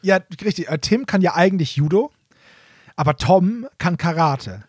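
A man co-hosting a podcast, chatting casually and explaining things in an explanatory way, rephrasing to make points clear. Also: high voice, expressive, normal speed, no echo, studio quality. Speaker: podcast co-host. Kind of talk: casual nostalgic chat about audio dramas.

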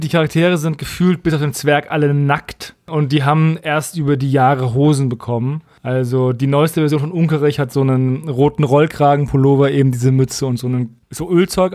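Male podcast co-host, slightly higher-pitched, dry close recording, casual wry review chat. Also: darkish tone, no room sound.

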